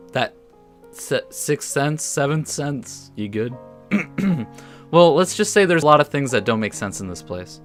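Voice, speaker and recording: A man's voice: medium pitch, a bit bright. A man hosting a gaming video, mixing casual commentary with reading story text aloud and stumbling over the odd word. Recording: music under the voice.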